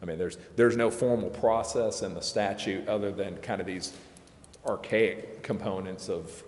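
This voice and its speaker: Deep-voiced man explaining in an echoey chamber. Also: steady tone, darkish tone. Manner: hedging, informal, halting explanation. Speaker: legal adviser to a city council. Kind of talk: procedural explanation in a public meeting. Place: indoor council chamber. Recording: echoey, reverberant council chamber with background noise.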